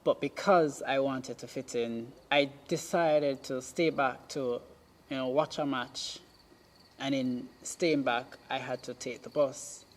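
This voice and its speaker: A person with a Jamaican accent giving a personal testimony in halting, deliberate short phrases. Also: mid-range voice, steady tone. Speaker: documentary subject telling own story. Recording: music playing under the voice. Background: music.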